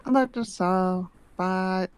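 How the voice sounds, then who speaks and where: A woman's voice: mid-range voice, slow, a little mellow, clean tone, lightly acted. A woman playing a friendly, chatty character in a roleplay game, who talks casually and politely. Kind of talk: improvised roleplay chat.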